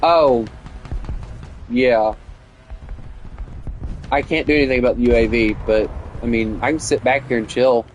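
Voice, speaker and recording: A man's voice: mid-range voice, unhurried, long pauses, faint music behind, rough recording. A young man with a Southern US accent, chatting casually with viewers while gaming, rambling and opinionated with lots of fillers. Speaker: gaming streamer. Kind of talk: casual gameplay chat with viewers.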